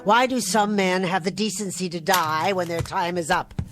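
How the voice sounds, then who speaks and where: An actress playing a bitter, caustic, middle-aged niece in a vintage television drama, with cutting sarcasm. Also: female voice, lowish voice, steady tone, normal speed, over music, close to an everyday voice. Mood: defiant. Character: bitter, long-suffering niece, caustic and resentful.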